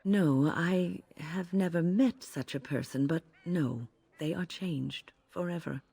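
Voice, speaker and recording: An actress playing a composed, wise older female mage in a video game, measured, formal and gently wry. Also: fairly low pitch, gravelly, breathy edge, full character voice. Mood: calm, instructive.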